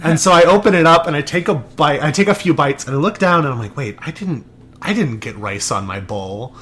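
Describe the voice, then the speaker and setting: A man's voice: a little high, lively intonation, fairly quick, a bit bright. A young man co-hosting a casual podcast, telling a long story with many restarts and asides. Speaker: podcast co-host.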